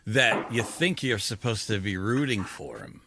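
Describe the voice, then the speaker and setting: A man's voice: on the low side, sing-song, a little slow, creaky, background noise. A man chatting casually as a panellist in a film discussion. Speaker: podcast panellist.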